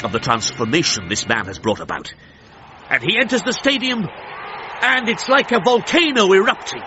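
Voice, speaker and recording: A man's voice: medium pitch, lightly acted. An actor playing a stately, dramatic male commentator in the style of a 1930s radio broadcast, full of reverence. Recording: dull band-limited sound with music behind.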